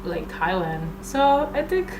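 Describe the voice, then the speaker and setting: A woman's voice: mid-range voice, very animated, takes it slowly. A woman co-hosting a podcast in casual chat, easygoing and agreeable, leaning on "like" a lot. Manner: easygoing, agreeable, uses "like" often. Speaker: podcast co-host. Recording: echoey room with background noise.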